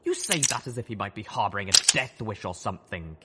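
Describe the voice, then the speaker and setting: A man's voice: on the low side, animated, a little fast, heavy background noise, close to an everyday voice. A man voicing a glib, cheerful cyborg pony scientist in an audiobook, breezy and matter-of-fact. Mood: amused, brisk. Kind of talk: audiobook character voice.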